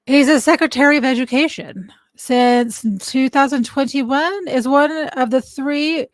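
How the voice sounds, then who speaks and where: A high-pitched woman hosts a casual livestream and talks things through as she searches for information. She corrects herself often and stops mid-thought. Her voice is close and dry, with no room sound. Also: no echo. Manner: thinks aloud, stops to look things up, self-correcting. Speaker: livestream host.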